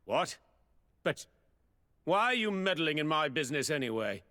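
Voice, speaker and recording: A man's voice: on the high side, big pitch swings, moderate pace, pushed and bright, long reverb tail. A man playing an irritable, evasive merchant in a video game, curt and defensive. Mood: defensive, harried. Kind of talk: video game dialogue acting.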